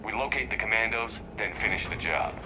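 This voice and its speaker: Man as decisive military commander, low-pitched, terse, firm, sci-fi game, noticeable background rumble. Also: expressive, a little fast, close to an everyday voice. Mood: terse, businesslike. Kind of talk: video game voice acting.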